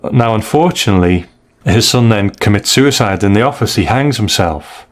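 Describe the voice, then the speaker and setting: A man's voice: lowish voice, expressive, moderate pace. A man hosting a podcast, talking through stories in a casual, slightly hesitant conversational way. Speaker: podcast host. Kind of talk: casual comic review discussion.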